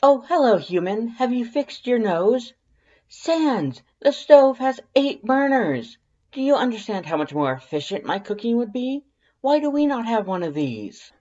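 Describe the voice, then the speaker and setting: Male cartoonish skeleton, high, loud, excitable, grandiose, storybook reading. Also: measured pace, highs cut off. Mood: excited. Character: bombastic, excitable skeleton, male, theatrical and naive. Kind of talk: fan fiction character voice in audiobook reading.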